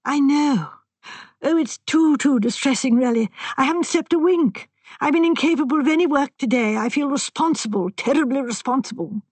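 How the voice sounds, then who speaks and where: A woman's voice: normal speed, darkish tone, dry recording, lightly acted. Woman voicing a frantic stammering young man. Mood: distraught. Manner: stammering, pleading, voice rising high. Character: frantic young male architect, highly strung. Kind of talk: audiobook character reading.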